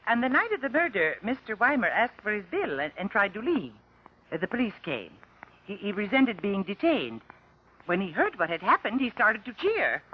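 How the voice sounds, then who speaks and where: An actor playing a hesitant, deferential witness who stammers through testimony in an old radio drama. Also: male voice, high-pitched, big pitch swings, breathy edge, exaggerated voice. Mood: hesitant, earnest. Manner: halting, stammering over words. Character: hesitant, deferential switchboard witness at murder inquest. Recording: dull, lo-fi old radio recording.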